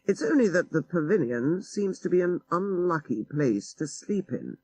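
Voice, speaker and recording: A man voicing a worldly, bookish gentleman in an audiobook story, urbane, knowing and deliberate. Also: high-pitched, very animated, husky, dry recording, pitched above the performer's own voice, exaggerated voice. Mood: hinting.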